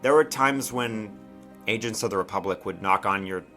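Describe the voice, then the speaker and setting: A man improvising narration as game master of a tabletop game, conversational and halting, often checking in with the players. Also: on the low side, bright, forceful tone. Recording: music behind the voice.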